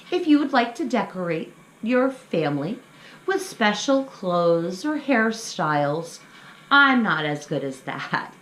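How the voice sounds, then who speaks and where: A middle-aged woman hosting a children's craft lesson, explaining things simply and encouragingly to young kids. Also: on the high side. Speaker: children's story time host. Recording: echoey room with faint background noise.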